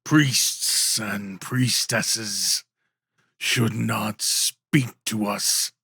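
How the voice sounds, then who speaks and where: A man voicing a huge, stoic infernal wolf in an ASMR roleplay, speaking in a deliberate, formal and teasing way. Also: fairly low pitch, fairly even intonation, creaky, bright, forceful tone, breathy edge, no echo, full character voice. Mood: solemn, guarded. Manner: slow, deliberate, formal speech. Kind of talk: ASMR roleplay character acting.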